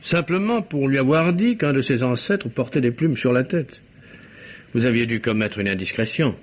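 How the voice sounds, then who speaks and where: An actor playing a probing, sceptical investigator with dry irony in a vintage French radio mystery, asking clipped, wry questions. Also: male voice, medium pitch, expressive, normal speed, natural voice. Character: probing, sceptical male investigator, dryly ironic. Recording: dull vintage radio recording.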